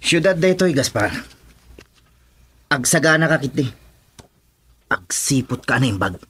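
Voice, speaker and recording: A man playing a cautious, calculating henchman who warns his partner in a radio drama, with a husky voice. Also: mid-range voice, measured pace, full character voice. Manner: calm, warning.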